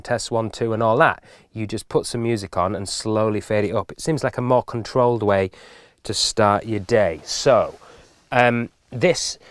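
A man's voice: mid-range voice, dry recording, clean studio sound. A British man giving a casual, chatty, plain-spoken hands-on tutorial as a presenter. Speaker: tutorial presenter, sound engineer.

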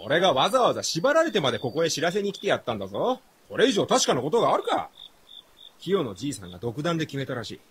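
A husky, fairly high young man, breezy and cocky. He is a free-spirited drifter with swooping, animated radio drama delivery. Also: quick pace, heavily acted. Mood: teasing. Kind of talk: Japanese radio drama acting.

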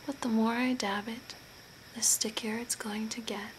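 Woman, breathy unvoiced whisper, slow soothing ASMR role play, close-miked, noticeable background noise. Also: on the high side, flat delivery. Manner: soft, deliberate, soothing with short phrases. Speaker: ASMR performer playing a zombie makeup artist. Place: small curtained room at home. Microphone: close to the mic at a desk. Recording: clear background noise, room ambience.

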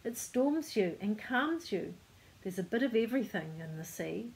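A woman voicing a bold, exuberant pirate mother in a storybook, calling out in a loud, declamatory way. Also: mid-range voice, expressive, normal speed, natural voice, low-quality recording.

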